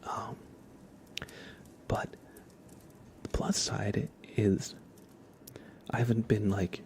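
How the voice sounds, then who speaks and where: A man chatting softly as an ASMR livestream host, in a gentle hushed voice that often drops into whispers. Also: fairly low pitch, flat delivery, very slow, creaky. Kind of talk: soft-spoken ASMR livestream chat.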